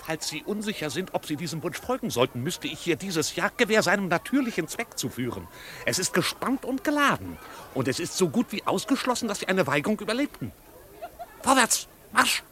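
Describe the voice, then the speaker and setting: An actor playing an officious, absurdly formal servant with pedantic, roundabout phrasing in a 1950s radio drama. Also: male voice, sits high, very animated, brisk, sharp tone, exaggerated voice. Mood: stern, commanding. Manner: pedantic, circumlocutory, deferential to his master. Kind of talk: 1950s German radio play acting. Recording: 1950s radio recording, faint crowd behind.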